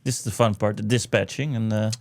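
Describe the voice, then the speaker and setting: A man's voice: fairly low pitch, steady tone, fast, a little mellow, dry recording, studio quality. A man co-hosting a casual game review chat, chipping in with short, laid-back remarks. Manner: brief, laid-back interjections. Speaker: co-host of a game review show.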